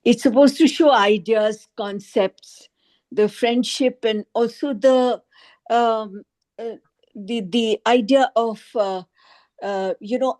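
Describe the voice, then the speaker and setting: A woman's voice: on the high side, darkish tone. A woman in an informal group discussion on a video call, speaking hesitantly and searching for her words as a participant. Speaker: book club participant.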